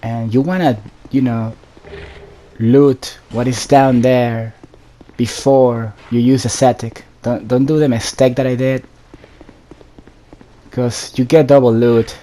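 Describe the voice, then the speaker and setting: A man's voice: mid-range voice, steady tone, takes it slowly, pauses often, dark tone. A man giving casual solo gameplay commentary, addressing viewers directly with instructions as he plays. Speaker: gaming video host.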